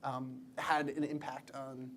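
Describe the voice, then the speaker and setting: A man's voice: mid-range voice, level tone, takes it slowly, a bit bright, slight background noise. A man from the East Coast hosting a comedic interview show in front of a live audience, teasing and laughing with his guest. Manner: joking, laughing, playful teasing. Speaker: talk show host. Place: small theater stage with live audience.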